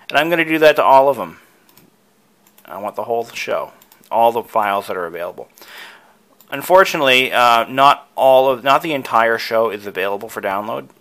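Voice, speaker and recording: A man's voice: lowish voice, fairly bright tone. A man presenting a hobby tutorial, casually talking through each step with enthusiasm.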